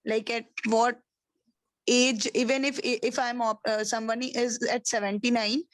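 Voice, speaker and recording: A woman on a video call asking halting questions about policy age limits. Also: fairly high pitch, level tone, no echo. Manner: hesitant questioning.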